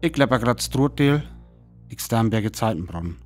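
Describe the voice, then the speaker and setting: A man's voice: mid-range voice, expressive, measured pace, faint music behind, close to an everyday voice. A man playing a weary survivor soberly recounting his lonely wandering in a radio play, with a North Frisian accent. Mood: sober, tense. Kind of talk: radio play narration and dialogue.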